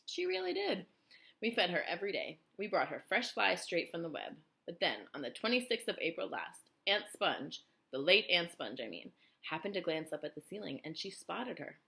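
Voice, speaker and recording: A woman voicing a gentle, motherly female spider in a storybook, tender and anxious. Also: on the low side, big pitch swings, moderate pace, vocal fry, exaggerated voice.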